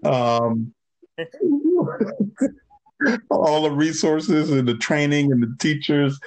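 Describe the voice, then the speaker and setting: A male interviewer who speaks at an unhurried pace. His follow-ups are casual and lightly teasing. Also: on the high side, big pitch swings, a little mellow, poor audio.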